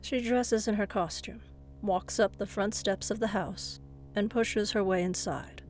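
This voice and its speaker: A woman's voice: mid-range voice, darkish tone. A woman narrator reading a suspenseful scripted horror story.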